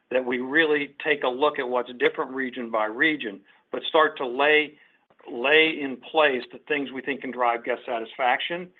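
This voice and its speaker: A man speaking as a company executive, giving unscripted, businesslike answers on an earnings call and often restarting his phrases. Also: medium pitch. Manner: businesslike, halting restarts with repeated words. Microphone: conference call line. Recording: muffled, band-limited conference call audio.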